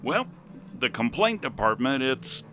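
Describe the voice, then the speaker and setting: A man's voice: medium pitch, very animated, a little slow, pushed and bright, a little hiss or hum. A man playing a cheerful but unhelpful salesman and bartender in hell, in a comedic radio play. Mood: breezily unhelpful.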